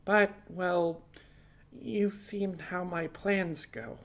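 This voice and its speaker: A man plays a young woman hacker on the run in an audiobook, pitched well up high. The figure is defiant and earnest, explaining in an urgent flow with wry little asides. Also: steady tone, very slow, creaky, full character voice. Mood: pleading, defensive. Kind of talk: audiobook character dialogue.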